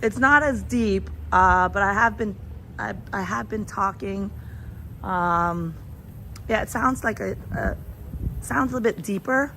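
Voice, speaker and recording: A woman with a raspy, hoarse voice from a sore throat, chatting as she live-streams a walk outdoors at night, holding a phone. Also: mid-range voice, a little slow, pushed and bright, rough recording. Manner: chatty, rambling, greets viewers. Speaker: travel vlogger. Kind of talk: live-stream walking vlog. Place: outdoors on a town street at night. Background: street and traffic noise.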